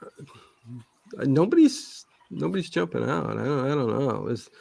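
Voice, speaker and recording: A man's voice: medium pitch, lively intonation, unhurried, gravelly, a little mellow, dry recording. A man chatting casually as an interview guest, rambling and hesitant, often repeating words as he works out a thought. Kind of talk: casual personal chat.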